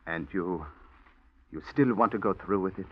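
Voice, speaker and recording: A man's voice: low-pitched, moderate pace, background noise, lightly acted. A man playing a timid, devoted singing teacher and secret lover in a 1940s radio drama, speaking tenderly and often stammering. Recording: dull old radio broadcast recording.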